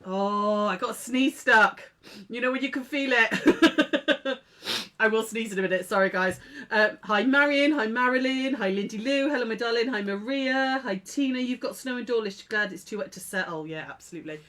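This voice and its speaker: A woman's voice: a little high, normal speed. Snuffly chatty woman host. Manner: chatty, warm greetings to viewers, many fillers. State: stuffy nose, snuffly. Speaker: craft shop host. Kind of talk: casual live-stream chat. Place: unheated home sewing studio.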